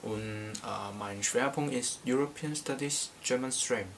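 Slow, halting young Hong Kong man. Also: mid-range voice, fairly bright tone, slight background noise. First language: Cantonese. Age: young adult. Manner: slow, halting, many hesitations. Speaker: language teacher. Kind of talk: casual language lesson. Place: small bedroom indoors. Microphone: camera in front at desk distance.

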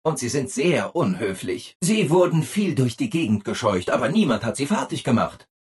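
An actor playing a relentlessly cheerful, eager-to-please robot assistant in a video game, exaggeratedly upbeat and obliging. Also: male voice, medium pitch, sing-song, normal speed, breathy edge. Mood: cheerfully helpful. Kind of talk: video game character acting.

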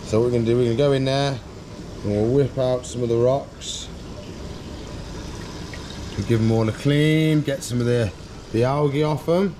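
A man's voice: mid-range voice, fairly even intonation, moderate pace, pauses often, background noise. A man in his thirties chatting casually and informally as he explains what he's doing in an unscripted vlog. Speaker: pet shop vlogger. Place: indoor aquarium shop.

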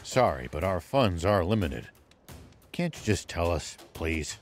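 An upright old lawman from a video game. His voice sits fairly low but moves expressively. He is formal, courteous and stern. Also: big pitch swings, soft background music, heavily acted. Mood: polite. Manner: formal, measured. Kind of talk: video game cutscene acting.

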